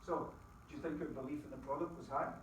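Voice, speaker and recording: A middle-aged Scottish man giving a lively motivational talk to a live audience, quizzing the crowd with folksy asides. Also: a little high, quick pace, a little hiss or hum. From Scotland. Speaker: business opportunity presenter. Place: presentation room with an audience. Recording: very echoey room, distant mic.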